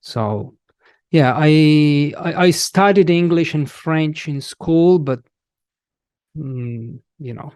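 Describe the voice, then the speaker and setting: A man's voice: fairly high pitch, darkish tone, smooth, no echo, clean studio sound. A man in his thirties from Italy with an Italian accent, an English teacher talking in a casual interview and often restarting his sentences. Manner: slow, halting, many restarts and fillers.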